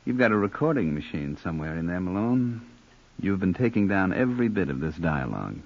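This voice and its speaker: A man's voice: sits low, sing-song, fairly quick, heavily acted. An actor playing a cool, menacing hired gunman in 1940s radio style, smug, sly and mockingly polite. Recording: dull lo-fi old radio recording.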